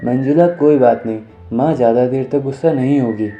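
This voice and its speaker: A man voicing a caring, reassuring young husband in an animated moral story, with a gentle consoling manner. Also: mid-range voice, fairly quick, dull audio, close to an everyday voice. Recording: music under the voice.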